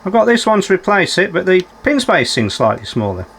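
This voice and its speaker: A man's voice: high-pitched, big pitch swings, a little fast, fairly bright tone, a little hiss or hum. A man giving unscripted running commentary as he works on a repair, musing aloud and putting questions to himself with dry, understated humour. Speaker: electronics repairer presenting a repair video.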